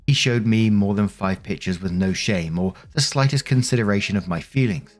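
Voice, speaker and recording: A man's voice: lowish voice, normal speed, soft background music. A man narrating online posts, reading steadily and adding brief casual asides. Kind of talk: reading posts aloud with commentary.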